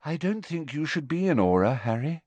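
A man's voice: fairly high pitch, very animated, moderate pace, a little mellow, no echo, lo-fi sound, voice pushed up. A dreamy teenage girl speaks in a lifted, airy voice, blithely offhand about strange ideas, as a man puts her on. The sound is dry and close to the mic. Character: dreamy, odd teenage girl, matter-of-fact.

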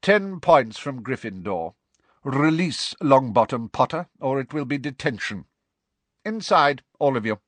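A strict, menacing schoolmaster from a storybook , as a male narrator plays him. He is icy and sneering, handing out orders with contempt. His pitch moves widely within each phrase. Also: sing-song, measured pace, fairly bright tone, dry recording. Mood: cold, stern. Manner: cold, sneering.

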